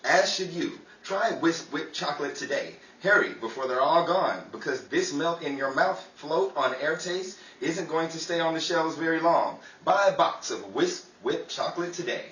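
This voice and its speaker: A man playing an eager, smooth-selling 1930s radio advertisement announcer. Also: on the high side, expressive, normal speed, hardly any pauses, fairly bright tone. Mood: salesy, eager. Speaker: commercial announcer. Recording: echoey stage, distant mic, audience hiss.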